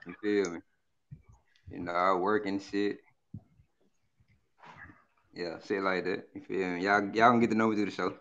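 A man's voice: fairly low pitch, a bit bright, clear, resonant tone, lo-fi sound. A man in his twenties with a Southern US accent, talking in a laid-back, slangy way as a dating show contestant. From Birmingham, Alabama. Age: 20s. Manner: laid-back, slangy, says "you feel me" often. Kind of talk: casual chat.

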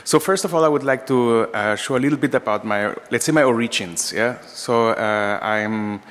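A man's voice: medium pitch, moderate pace, a bit bright. A man in his forties from Vienna with an Austrian accent, an architecture professor giving an informal lecture at a lectern, phrasing things hesitantly. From Austria, raised in Vienna. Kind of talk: lecture to an audience. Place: large room, indoors, at a lectern. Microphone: gooseneck lectern microphone. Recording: reverberant lecture hall.

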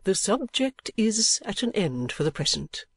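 A man acts out a grey-headed old gentleman from a storybook, pitched well above a normal male speaking voice. He is kindly, excitable and sentimental. His phrases come slowly and emphatically, with halts and repeats, in a dry, close sound. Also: breathy edge, no echo, heavily acted. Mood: earnest, confidential. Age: older. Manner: emphatic, repetitive, halting, drawn-out delivery.

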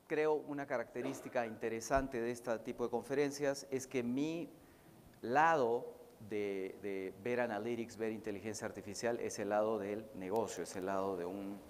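A man's voice: on the low side, darkish tone, long reverb tail, a little hiss or hum. A middle-aged Peruvian man with a mixed Peruvian accent, giving a conversational conference talk with casual anecdotes and frequent fillers. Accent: Peruvian Spanish, mixed with Mexican. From Peru. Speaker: conference speaker. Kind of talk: academic talk.

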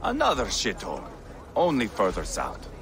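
An actor playing a cruel, mocking Viking warlord in a video game cutscene, with a gravelly, sneering voice. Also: male voice, on the low side, huge pitch swings, moderate pace, background noise, exaggerated voice.

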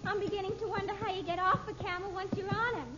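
Radio serial daughter: girlish, extremely high voice, eager and chatty, dull vintage recording. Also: fairly even intonation, fast. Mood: chatty, excited. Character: chatty, eager young daughter on desert adventure. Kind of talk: 1940s radio drama acting.

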